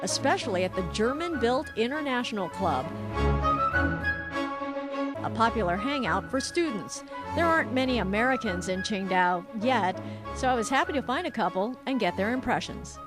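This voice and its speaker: A woman host from Hong Kong narrates a travel show with a bright, upbeat, conversational delivery. Her voice sits a little high. A clear music bed plays under her voice-over. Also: expressive, normal speed.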